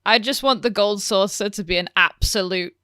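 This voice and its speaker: A woman's voice: mid-range voice, normal speed, sharp tone, no echo, studio quality. A woman chatting informally as a panelist in a podcast fan discussion.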